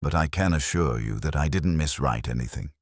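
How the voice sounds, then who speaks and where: Man playing an affronted village committee woman, low-voiced. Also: expressive, a little fast, no room sound, studio quality. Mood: indignant, flustered. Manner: indignant, prim, emphatic. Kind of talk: storybook character dialogue.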